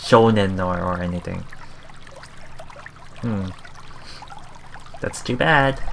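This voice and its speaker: A man hosting a let's play, reading game text aloud and adding halting, musing casual commentary, with water sounds behind him. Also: lowish voice, a bit bright, a little hiss or hum.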